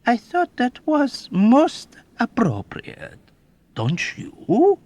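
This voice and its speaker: A man's voice: very high voice, wildly swooping pitch, a little mellow, voice pushed up, exaggerated voice. A man voicing a sly, villainous 107-year-old man who talks suavely and teasingly in an audiobook. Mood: teasing, scornful. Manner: suave, teasing, drawn-out delivery.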